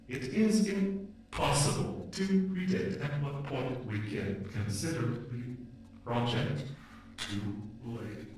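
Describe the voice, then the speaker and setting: Weary, grieving ageing AI played by a man, slow halting delivery, audio drama, very reverberant and off-mic. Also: mid-range voice, a little mellow. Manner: slow, halting, repeats words. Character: ageing experimental humanlike AI, weary and grieving, losing memory. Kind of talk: dramatic audio roleplay monologue. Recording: very echoey, distant from the mic.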